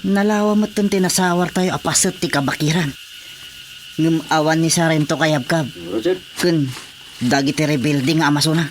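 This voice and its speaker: A man's voice: a little high, normal speed, slight background noise, close to an everyday voice. A man playing an earnest army corporal on a search, in a radio drama. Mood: worried.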